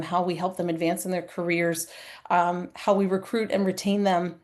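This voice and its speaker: A woman delivering a conference presentation while hoarse from a cold. She clears her throat often and keeps an earnest, conversational tone with many fillers. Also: mid-range voice. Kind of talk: live-streamed conference talk.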